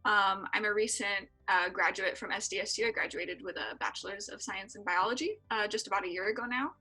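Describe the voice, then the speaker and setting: A woman's voice: mid-range voice, fairly quick, pushed and bright, slightly rough, soft background music, poor audio. A woman in her twenties from San Diego, answering interview questions earnestly with frequent filler words. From San Diego, California. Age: 20s. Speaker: interview guest, EMT.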